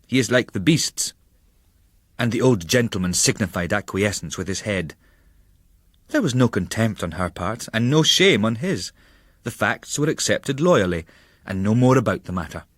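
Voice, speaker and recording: A man reading a literary travel memoir as a narrator, in a measured, formal storytelling manner. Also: fairly low pitch, expressive, dry recording, clean studio sound. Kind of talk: dramatic literary audiobook narration.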